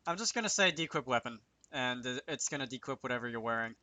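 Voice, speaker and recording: Bright-voiced man thinking aloud while coding. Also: mid-range voice, lively intonation, quick pace, no room sound. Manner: self-talking, wry asides. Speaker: video creator demonstrating game programming.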